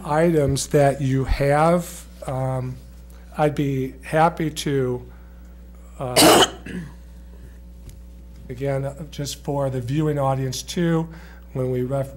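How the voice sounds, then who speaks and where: A middle-aged man presenting at a school board meeting into a desk microphone, measured and full of fillers and restarts. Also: mid-range voice, darkish tone.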